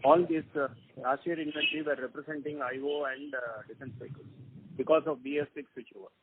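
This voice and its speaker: An Indian man speaking as a company executive, giving a halting, factual report of figures. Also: fairly high pitch, steady tone, background noise. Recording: dry, muffled phone line.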